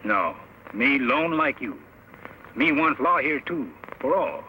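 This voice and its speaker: An actor playing a solemn, loyal Native sidekick in an old radio western, speaking halting broken English in short clipped phrases. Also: male voice, medium pitch, very animated, sharp tone, full character voice. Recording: noisy old radio recording.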